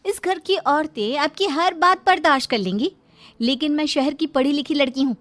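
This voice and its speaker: Outspoken young daughter-in-law for a moral story, played by a woman: high, challenging, indignant, refuses to back down. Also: moderate pace, fairly bright tone. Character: defiant educated young city daughter-in-law. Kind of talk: Hindi moral story character voice.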